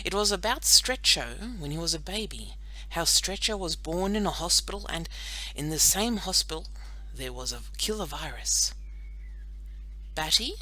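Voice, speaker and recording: Very bright, animated woman storyteller. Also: fairly low pitch, moderate pace, low-quality recording. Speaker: audiobook narrator. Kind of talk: expressive scripted children's story narration.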